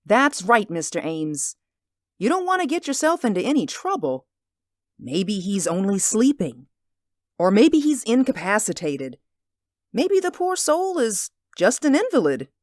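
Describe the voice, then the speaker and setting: A woman's voice: medium pitch, very animated, a little slow, a little mellow, no room sound, studio quality. A woman playing a deferential, sceptical housemaid in a radio drama, with a folksy, respectful manner. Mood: doubtful, placating.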